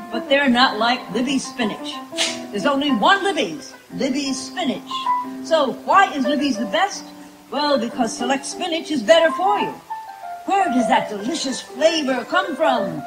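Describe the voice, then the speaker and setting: An actress playing a brisk, emphatic announcer reading an old-time radio commercial. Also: female voice, lively intonation, over loud music, low-quality recording. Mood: persuasive. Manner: emphatic sales pitch. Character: brisk no-nonsense spinach advertisement announcer, woman. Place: outdoor stage in the woods.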